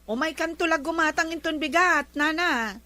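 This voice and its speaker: Brief: actress as guarded village store owner, high sharp voice, questioning, matter-of-fact, comedy drama. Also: female voice, normal speed, dry recording. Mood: reluctant, wary. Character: guarded female village shopkeeper selling on credit reluctantly.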